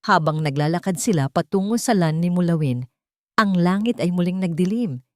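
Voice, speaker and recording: A woman's voice: on the low side, animated, moderate pace, a little mellow, dry recording, studio quality. A woman reading a dramatic scripted audio story as its narrator.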